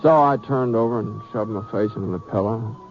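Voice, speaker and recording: An actor playing a plain-spoken cowboy in an old radio western, with an American accent and a folksy Western drawl. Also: male voice, on the low side, a little fast, soft background music, natural voice. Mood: wry, relaxed. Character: easygoing, plain-spoken cowboy who narrates his own tale. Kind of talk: old-time radio western acting and narration. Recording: muffled vintage radio recording.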